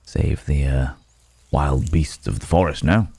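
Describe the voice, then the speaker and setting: A man's voice: a bass voice, measured pace, vocal fry, warm tone, husky, no echo, full character voice. A man playing a courteous, guarded werewolf from the city in an intimate ASMR roleplay, speaking in hesitant, formal, polite phrases. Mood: grateful, gentle.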